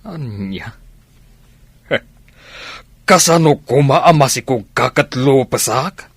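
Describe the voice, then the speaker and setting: An angry, domineering man in an Ilocano radio drama, with an Ilocano accent. His voice is rough and husky, swinging widely in pitch. He speaks slowly and accusingly, in a dry, close recording. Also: animated, fairly bright tone, no echo, exaggerated voice. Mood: scornful, angry. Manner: scornful, accusing, exclamatory. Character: angry, domineering man evicting a young woman.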